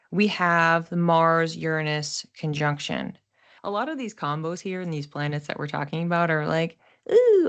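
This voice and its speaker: A woman hosting an astrology forecast in a casual, explanatory way, conversational and given to voicing imagined thoughts aloud. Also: low-pitched. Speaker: astrologer host.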